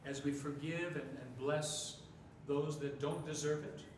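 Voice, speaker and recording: A man whose first language is Japanese preaches in short, measured phrases and stops after each one for an interpreter. His voice sits a little high for a man. It is picked up from far off in a very echoey hall with clear background noise. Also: fairly even intonation. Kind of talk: sermon with live interpretation.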